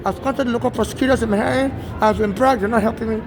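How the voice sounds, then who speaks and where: Urgent high-voiced man, rambling. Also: fairly even intonation, fast talker. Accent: Nigerian-tinged American. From Nigeria. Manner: urgent, repetitive, restarts often. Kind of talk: rambling street monologue. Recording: street noise outdoors.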